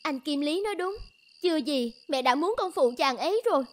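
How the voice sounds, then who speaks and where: Woman playing a high-voiced, devoted young maiden. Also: animated, a little fast. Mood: pleading, defiant. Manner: earnest, pleading. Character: devoted, stubborn young village woman in love. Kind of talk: cartoon voice acting.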